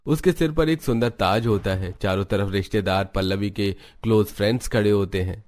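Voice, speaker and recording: A man reading scripted narration for an animated family story. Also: on the low side, fairly quick.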